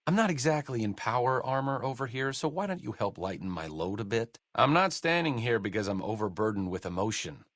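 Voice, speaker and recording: A man's voice: mid-range voice, lively intonation, brisk, hardly any pauses, a bit bright, no echo. A man voicing a sardonic middle-aged male doctor in a video game, delivering companion lines with dry, sarcastic wit. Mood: wry. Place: studio booth. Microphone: close studio mic.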